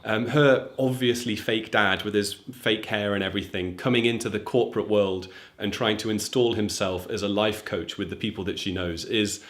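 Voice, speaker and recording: A man's voice: lowish voice, fairly even intonation, moderate pace, hardly any pauses, a bit bright. A young man, a native English speaker, casually reviewing a film for German learners, thoughtful and chatty with frequent ums. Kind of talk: casual talking-to-camera review.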